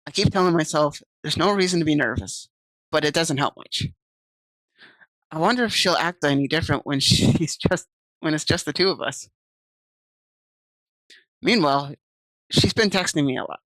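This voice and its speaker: A woman's voice: low voice, fairly bright tone, no echo, low-quality recording. A woman streaming, reading visual novel text aloud and chatting casually with viewers on a desk mic. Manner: chatty, reads game text aloud and answers chat.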